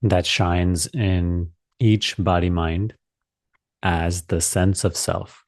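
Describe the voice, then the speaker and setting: A man leading a guided meditation as a teacher, speaking calmly and deliberately with long pauses. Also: low-pitched, no echo, studio quality.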